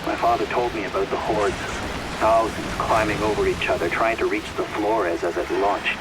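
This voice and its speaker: A man's voice: lowish voice, steady tone, normal speed, heavy background noise, close to an everyday voice. An actor playing a thoughtful man in a video game, reasoning things out aloud. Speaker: game character. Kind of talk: video game cutscene acting. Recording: distant voice under loud game music.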